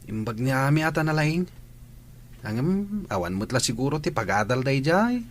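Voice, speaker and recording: A man's voice: mid-range voice, sing-song, moderate pace, lightly acted. A man playing a casual, teasing young schoolmate in a radio drama. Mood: chatty teasing.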